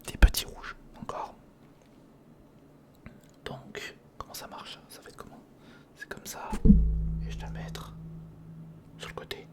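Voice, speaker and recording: A man's voice: takes it slowly, long pauses. A man giving whispered ASMR commentary on a hands-on build, murmuring to himself as he thinks and chatting informally to the viewer. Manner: murmurs to himself while thinking through steps; informal, chummy address to the viewer. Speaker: ASMR video host.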